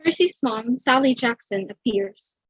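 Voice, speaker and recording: A woman's voice: a little high, animated, a little slow, husky, no room sound. A woman reading stage directions as a scripted narrator for a theatrical table read.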